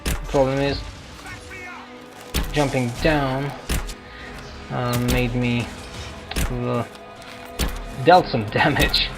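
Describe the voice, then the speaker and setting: A man with a mid-pitched, creaky voice talks through a video game as he plays. His commentary is casual and wanders as he thinks aloud. Music plays clearly behind him. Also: fairly even intonation, extremely slow, pauses often. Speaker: gamer narrating own playthrough.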